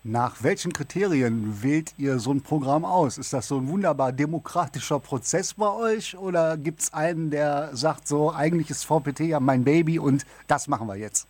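A man's voice: on the high side, moderate pace, hardly any pauses, darkish tone, dry recording. A man interviewing a guest for a radio show, asking questions in a friendly, casual way.

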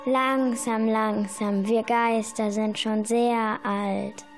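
A woman's voice: fairly high pitch, level tone, takes it slowly, faint music behind. A young schoolgirl playing a grumpy, reluctant old ghost in an amateur radio play. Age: child. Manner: grumbling, put-upon.

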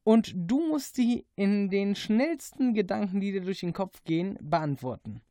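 A woman hosting a casual radio interview with quick-fire questions, giggling readily. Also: medium pitch, lively intonation, dark tone, no echo, clean studio sound. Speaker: radio host.

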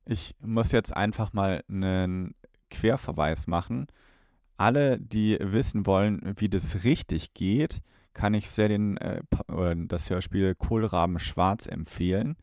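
Male co-host, unhurried and low, matter-of-fact, recorded dry. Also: darkish tone, dry recording, highs cut off. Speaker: podcast co-host. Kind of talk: casual commentary.

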